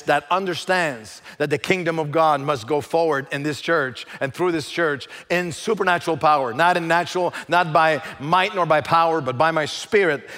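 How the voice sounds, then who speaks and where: Male preacher, English rusty, stop-start with humor; a bit high-pitched, big reverberant sanctuary. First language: French. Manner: halting, with many fillers and restarts; lively, joking with the congregation. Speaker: guest preacher. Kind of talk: church sermon. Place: large church sanctuary.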